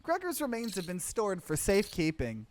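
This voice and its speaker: A man's voice: sits high, huge pitch swings, moderate pace, a little hiss or hum, voice pushed up. A man voicing a stern, callous woman from a horror game, curt and scolding. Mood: irritated, curt. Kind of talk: dramatic reading of game dialogue.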